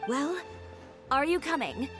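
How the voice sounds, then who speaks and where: A woman's voice: high-pitched, expressive, takes it slowly. A woman playing a cool, blunt, self-assured young boutique owner in a video game, speaking drily. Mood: determined. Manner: blunt, dry, clipped. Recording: music behind the voice. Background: game music.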